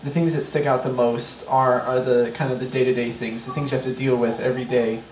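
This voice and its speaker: A man in a casual group discussion, halting and repeating words as he talks. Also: mid-range voice, level tone, darkish tone, slight background noise. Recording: roomy, distant mic.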